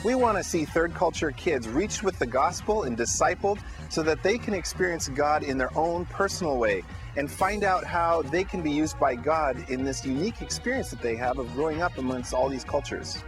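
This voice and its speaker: A man who grew up as a missionary kid, calmly speaking about his ministry in a mission video. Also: fairly high pitch, moderate pace, low-quality recording. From grew up in Sri Lanka, India and Egypt. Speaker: missionary school counselor. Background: music.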